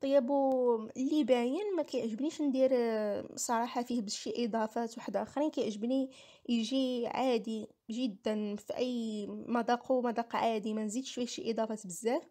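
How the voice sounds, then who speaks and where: High-pitched, fast-talking Moroccan woman. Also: steady tone, dark tone. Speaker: home cooking vlogger. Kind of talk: casual recipe voice-over.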